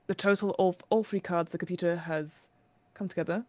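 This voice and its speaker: A woman with a lowish voice takes part in an improvised comedy meeting. She is polite and mock-formal, hedging as she talks. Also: fairly even intonation, brisk, mellow, dry recording. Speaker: comedy panelist. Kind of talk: casual improvised comedy role-play.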